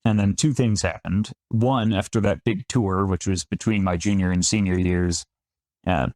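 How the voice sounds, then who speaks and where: A man in his thirties from upstate New York talks as a podcast guest in a very low voice. He is chatty and self-deprecating, often restarting his sentences. The sound is dry and close, with no room echo. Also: fairly even intonation, normal speed, a little mellow. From Finger Lakes region of New York. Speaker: winemaker guest. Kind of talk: conversational podcast interview.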